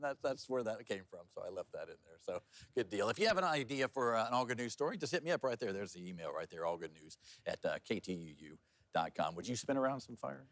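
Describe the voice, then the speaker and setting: A man's voice: lowish voice, sing-song, fast talker, fairly bright tone, dry recording. An American man presenting an upbeat local TV news feature in a playful, folksy style.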